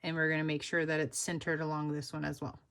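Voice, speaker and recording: A woman's voice: low voice, completely flat delivery, fast, a bit bright. A young woman casually walking viewers through a sewing pattern step by step, upbeat and full of fillers. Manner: chatty, upbeat, many fillers like "like" and "oop". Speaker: sewing pattern designer teaching a tutorial.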